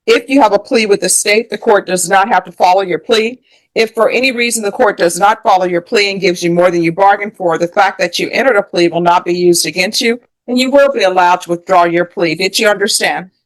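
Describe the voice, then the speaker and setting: A woman's voice: medium pitch, a little fast, rough recording. Female judge, American, formal courtroom questioning, procedural and methodical, mid-range voice. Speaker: judge presiding over a plea hearing.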